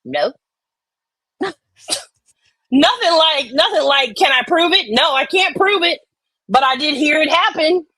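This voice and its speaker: A woman co-hosting casually, chatting and reading online comments aloud in a loud, theatrical, emphatic manner. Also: sits high, expressive, measured pace, pauses often, no echo, poor audio. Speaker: co-host.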